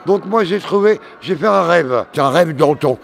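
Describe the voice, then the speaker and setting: Older male roving reporter, cheerful salesy patter to camera, slightly high voice, handheld mic at a trade fair. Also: expressive, normal speed, vocal fry. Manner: upbeat, promotional, addresses viewers directly. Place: large indoor exhibition hall.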